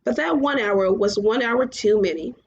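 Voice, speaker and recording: A woman reading a storybook aloud as narrator, a little haltingly, stumbling over words now and then. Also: on the high side. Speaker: story reader. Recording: muffled, clearly noisy home recording.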